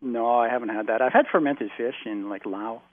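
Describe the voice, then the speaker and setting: A man from Canada, a guest on a phone interview, rambling in relaxed stories with plenty of fillers, heard over a tinny phone line. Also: mid-range voice, normal speed. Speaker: guest, long-term traveller.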